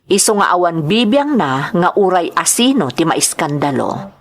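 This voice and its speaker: A woman's voice: on the low side, big pitch swings, moderate pace. A woman with a Filipino accent playing an earnest young nightclub entertainer, telling her story expressively in a radio-style drama. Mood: earnest confiding.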